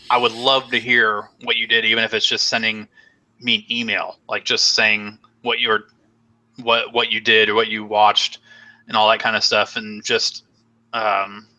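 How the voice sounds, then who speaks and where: A man's voice: on the low side, bright, forceful tone, poor audio. A young man hosting a casual live stream chat, rambling and joking with many fillers while losing his voice. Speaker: host.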